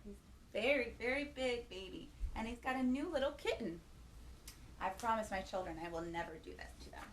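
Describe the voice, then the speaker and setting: Woman, high-pitched, children's storytime host, animated picture-book reading, playful questions to little listeners, faint background noise. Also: moderate pace, distant mic, low-quality recording. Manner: playful, asks young listeners questions, exclaims.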